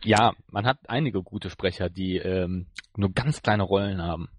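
Slightly husky, low-voiced man chatting. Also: moderate pace, no room sound. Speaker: podcast co-host. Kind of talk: casual podcast chat reviewing an audio drama.

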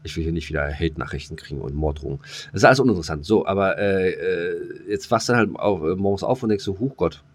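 A man with a low-pitched voice hosts a casual podcast. He rambles through jokey banter in colloquial speech, circling back and repeating words as he goes. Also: fast talker. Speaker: podcast host.

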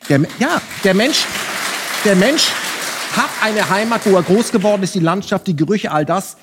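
A man speaking as a talk show guest, arguing his opinions emphatically with rhetorical questions and halting self-corrections. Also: sits high, expressive, crowd noise, background noise. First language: German. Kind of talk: opinionated panel discussion. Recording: reverberant hall.

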